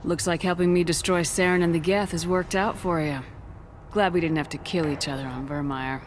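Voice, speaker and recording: A woman's voice: fairly low pitch, fairly even intonation, brisk, in a large hall, slight background noise, lightly acted. A woman playing a tough military commander in a video game, controlled and matter-of-fact. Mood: composed. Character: tough, level-headed female military commander.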